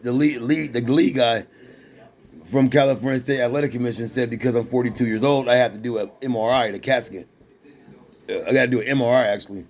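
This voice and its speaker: A man's voice: medium pitch, darkish tone. Streetwise boxer man in his forties. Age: 40s. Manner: loose, repetitive, streetwise slang with frequent restarts. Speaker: amateur boxer. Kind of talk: casual rambling talk to a companion.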